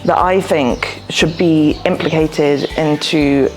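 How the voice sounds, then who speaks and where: A woman in her thirties answering interview questions thoughtfully, often pausing with "um" and "you know," recorded on a lapel mic indoors. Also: deep voice, steady tone, soft background music, rough recording.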